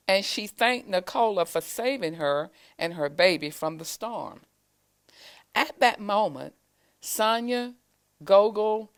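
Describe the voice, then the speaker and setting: A woman reading a children's storybook aloud, with deliberate, expressive phrasing, as school staff do for young listeners. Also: mid-range voice, smooth. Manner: deliberate, expressive phrasing with pauses to show pictures.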